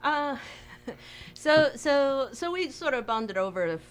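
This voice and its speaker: A woman from Taiwan, a bakery co-owner, talking casually in an interview, hesitant with many fillers and quick to laugh. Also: high-pitched, big pitch swings.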